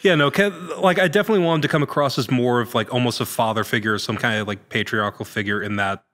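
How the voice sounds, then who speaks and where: A man in his thirties chatting casually as a podcast guest, halting and informal, with a self-deprecating manner. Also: medium pitch, a bit bright. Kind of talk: casual chat. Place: large indoor studio room. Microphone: boom-mounted studio mic.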